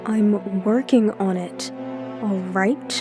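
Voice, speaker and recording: A woman's voice: mid-range voice, slow pace, music in the background, natural voice. A woman playing a guarded, protective police chief and weary, stubborn older sister in an audio drama. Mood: irritated, guarded.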